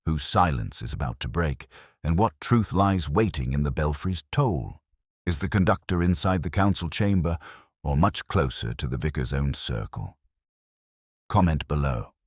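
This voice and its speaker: A man's voice: a bass voice, slightly rough, dry recording, studio quality. A man reading a scripted mystery story as its narrator.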